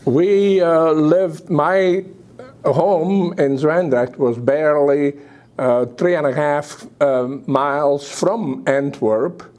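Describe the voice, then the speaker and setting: An older man with a Belgian accent giving a public talk about his memories, speaking haltingly with pauses. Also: a little high, darkish tone. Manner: halting, hesitant delivery with pauses. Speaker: guest speaker.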